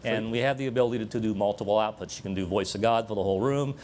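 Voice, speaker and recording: A man's voice: fairly low pitch, racing, darkish tone, slight background noise. A man giving a conversational live technical demonstration to a room, explaining equipment as he presents it. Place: room with an audience at a live event demo.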